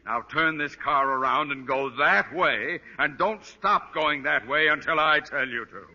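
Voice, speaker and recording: Pompous, irascible principal for vintage radio comedy; highish, piercing, bossy delivery, reverberant old recording. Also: male voice, measured pace, pushed and bright, muffled recording, full character voice. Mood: bossy, impatient. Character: blustering, pompous, irascible male high school principal. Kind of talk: 1940s-50s radio comedy acting.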